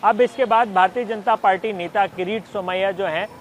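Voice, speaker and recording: High-pitched male TV field reporter, street noise. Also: normal speed, rough recording. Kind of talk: on-location news reporting and interviewing. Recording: outdoor street noise, traffic.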